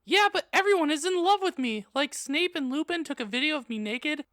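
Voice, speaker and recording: A man's voice: very high voice, lively intonation, brisk, sharp tone, dry recording, clean studio sound, pitched above the performer's own voice, exaggerated voice. A man voicing a whiny, melodramatic teenage goth girl in a comic audiobook reading. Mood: whiny, self-pitying. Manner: whiny, overdramatic.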